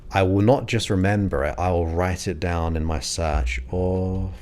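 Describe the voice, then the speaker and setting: A man's voice: sits low, fairly even intonation, moderate pace, darkish tone. A man in his forties hosting a livestream, chatting casually and rambling as he thanks viewers by name. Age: 40s. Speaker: livestream host. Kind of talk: casual chat with live viewers.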